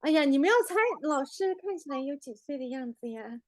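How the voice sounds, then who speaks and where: High-pitched playful woman teacher in her forties. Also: lively intonation, fast, darkish tone, slight background noise. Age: 40s. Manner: playful, teasing, often chuckling. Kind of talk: interactive online class chat.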